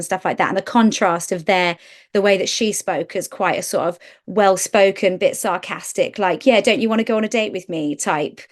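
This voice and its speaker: A British woman with a mid-range voice talks as a podcast co-host. Her delivery is conversational and slangy, loosely phrased and informal, as in a chat between two people who know each other. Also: medium pitch.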